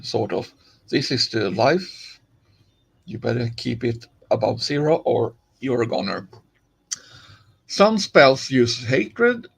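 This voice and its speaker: A man's voice: mid-range voice, gravelly, slightly rough. A man from Finland with a Finnish accent, chatting casually on a live stream while he plays, rambling along with many fillers and restarts.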